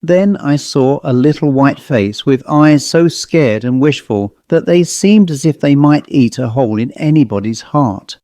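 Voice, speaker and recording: A man reads a ghost story as a scripted first-person narration. His voice is mellow and softly toned, captured close and dry. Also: mid-range voice, no echo. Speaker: audiobook narrator.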